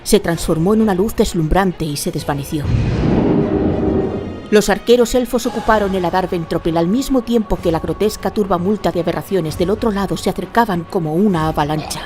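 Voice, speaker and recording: A woman's voice: fairly low pitch, fairly quick, mellow. Spanish woman narrating over prominent music. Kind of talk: scripted dramatic fantasy audiobook-style narration. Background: music under the narration.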